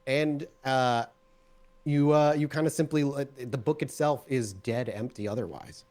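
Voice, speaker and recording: A man's voice: medium pitch, a little slow, a little mellow. A man narrating a tabletop roleplaying game as game master, describing scenes directly to the players.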